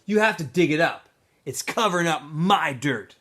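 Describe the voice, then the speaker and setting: A man's voice: a little high, expressive, normal speed, fairly bright tone, voice pushed up. An aggressive teenage boy from a storybook, acted out by a man reading aloud. He is territorial and blunt, pressing his point with insistent emphasis. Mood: accusing.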